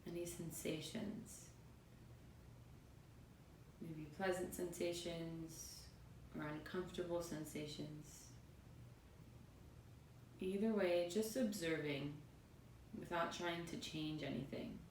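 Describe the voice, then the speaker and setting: A woman yoga teacher leading a guided meditation in a soothing manner, with unhurried phrases and pauses. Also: darkish tone. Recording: roomy, distant mic, faint noisy rumble.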